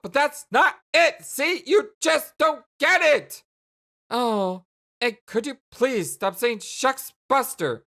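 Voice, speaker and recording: A woman voicing an exasperated, hot-tempered young woman in a dramatic dialogue, stretching her words out emphatically. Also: mid-range voice. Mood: furious, fed up. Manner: emphatic, drawn-out, shouting outbursts.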